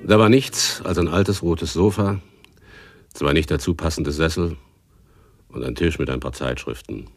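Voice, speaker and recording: An actor playing a world-weary, wry private detective, narrating and acting in a hard-boiled radio drama with laconic, dry delivery. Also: male voice, extremely low pitch, unhurried, slightly rough, soft background music, full character voice. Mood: matter-of-fact, reflective. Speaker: narrator and lead character.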